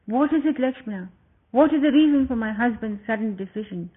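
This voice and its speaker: A storyteller voicing a gentle, devoted young queen who grows anxious about her husband. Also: medium pitch, lively intonation, brisk, breathy edge, voice pushed up. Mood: anxious pleading. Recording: tinny phone-like lo-fi sound.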